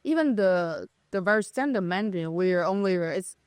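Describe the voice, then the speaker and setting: A woman's voice: lowish voice, lively intonation, normal speed, clean tone, no room sound, studio quality. A young woman from China, a native Chinese speaker with a Chinese accent, talking as a podcast guest with hesitant, restarting speech. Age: young adult. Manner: hesitant, many restarts and fillers. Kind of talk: casual conversation.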